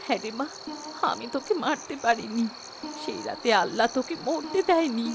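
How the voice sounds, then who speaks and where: A woman's voice: on the high side, expressive, moderate pace, gravelly, noisy background. A woman voicing an anxious, remorseful servant and devoted foster mother in a melodramatic fairy-tale cartoon. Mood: worried.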